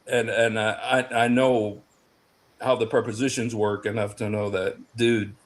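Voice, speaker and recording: A man, raised in the United States, talking in a casual online panel discussion, emphatic and excitable, often restarting and repeating words. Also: on the low side, moderate pace. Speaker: panel guest.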